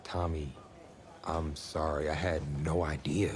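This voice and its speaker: A man's voice: extremely low pitch, little pitch movement, a little slow. A man playing a confident, earnest young backup quarterback in a video game cutscene, talking casually. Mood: earnest, concerned. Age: young adult.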